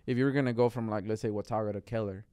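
A man's voice: lowish voice, steady tone, racing, dark tone, dry recording. A young man from Mexico, guest on a podcast, talking in a rambling casual chat full of "like" into a studio mic. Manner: rambling, lots of "like" and "bro". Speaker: guest artist. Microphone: studio mic on boom arm.

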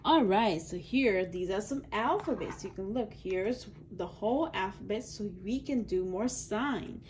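A woman's voice: mid-range voice, lively intonation, a little slow, darkish tone, slight background noise. A woman reading aloud to young children in an instructional way, adding her own asides and stretching out key words. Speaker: children's book reader.

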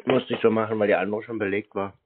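A man chatting casually on a call, teasing in a deadpan way. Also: lowish voice, quick pace, phone-quality sound. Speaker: scam-baiter taking calls. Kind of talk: casual phone conversation.

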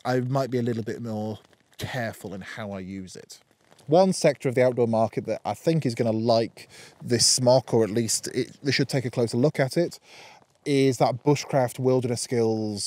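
A British man giving an informal gear review to camera in a measured, explanatory way. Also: medium pitch, a little mellow, no echo. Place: outdoors in damp woodland, under a tarp and by a campfire.

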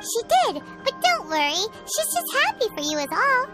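A woman voicing a tiny, excitable fairy companion in a video game, squeaky and childlike, speaking of herself in the third person. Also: moderate pace. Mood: cheerful. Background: game music.

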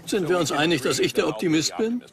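A man's voice: on the high side, lively intonation, fast. A man in a dubbed documentary conversation, one of the presenting team. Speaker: documentary team member asking questions.

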